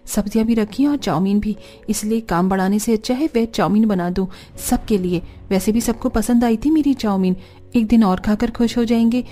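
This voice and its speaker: A woman's voice: fairly high pitch, normal speed, dark tone, lightly acted. A woman voicing a lazy, cheerful young daughter-in-law in a family cartoon, musing to herself in a self-satisfied way. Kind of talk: Hindi family cartoon acting.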